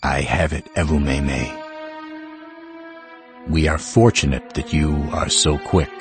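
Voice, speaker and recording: An actor playing an ancient, solemn living heart of a mountain in a fantasy audiobook, speaking formally like a pedantic teacher. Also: male voice, a bass voice, fairly even intonation, over music. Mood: calm. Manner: formal, pedantic, measured wording.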